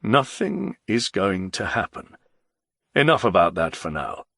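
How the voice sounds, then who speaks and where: A stern senior nobleman from an audiobook drama as a male narrator plays him, curt and commanding. His speech is clipped and emphatic, with a husky, gravelly edge and animated pitch. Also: unhurried, dry recording, clean studio sound, full character voice. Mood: grave, brisk command.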